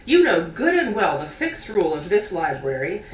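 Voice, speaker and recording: A woman's voice: lowish voice, big pitch swings, normal speed. A woman voicing a stern, bossy small-town librarian who scolds, as part of a story read aloud. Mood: scolding. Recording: roomy, distant mic.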